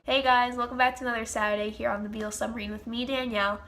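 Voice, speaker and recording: A young woman talks straight to camera in a casual vlog Q&A. Her nose is stuffed up, so she sounds congested, but her slightly high, bright voice still gushes with fan enthusiasm. A faint hiss sits under her voice. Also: flat delivery, racing. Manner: chatty, gushing fan enthusiasm. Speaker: channel host.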